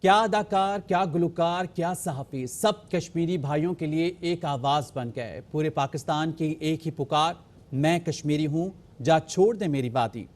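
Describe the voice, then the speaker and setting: A man's voice: a little high, unhurried, a little mellow. Declamatory male news voice-over. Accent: Pakistani Urdu. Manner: rhythmic, declamatory listing. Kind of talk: scripted news narration.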